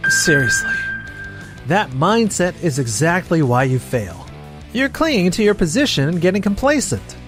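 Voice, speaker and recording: A man's voice: high voice, sing-song, moderate pace, over music. Man acting a blunt, assured elder brother. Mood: stern, admonishing. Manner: assured, admonishing. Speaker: voice actor and narrator in manga dub. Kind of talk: dramatized manga story dub.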